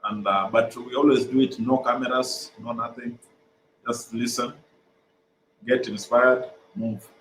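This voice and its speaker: A young Kenyan man whose first language is Swahili, earnestly explaining his organization's programs over a video call, with frequent "uh" pauses. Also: medium pitch, fairly even intonation, reverberant, a little hiss or hum, rough recording. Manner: earnest, many "uh" pauses, repeats phrases. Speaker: guest, mental health organization co-founder.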